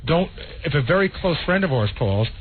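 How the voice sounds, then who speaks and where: A man's voice: fairly high pitch, big pitch swings, normal speed, husky. A male radio producer arguing his case in casual studio banter, defensive and persistent. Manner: defensive, argumentative. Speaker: show producer. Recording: tinny phone-like radio broadcast, faint hiss.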